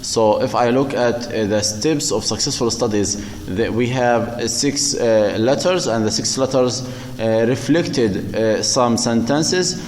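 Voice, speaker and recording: A male university lecturer, speaking slowly and haltingly with many fillers and repeated words in a recorded lecture. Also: mid-range voice, a little mellow, roomy sound, in a large hall, a little hiss or hum. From Palestine.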